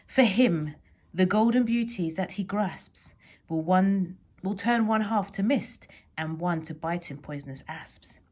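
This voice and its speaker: A woman's voice: mid-range voice, a little slow, a little mellow, natural voice. Wicked powers played by a woman storyteller: gloomy, emphatic curses for a children's poem reading. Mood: ominous, doom-laden. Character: wicked powers cursing a newborn boy with gloom. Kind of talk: poem read aloud to children.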